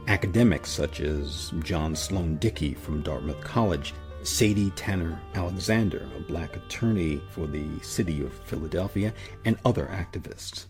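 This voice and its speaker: Deep-voiced male history narrator over music. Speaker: documentary narrator and host. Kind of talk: scripted dramatic history narration.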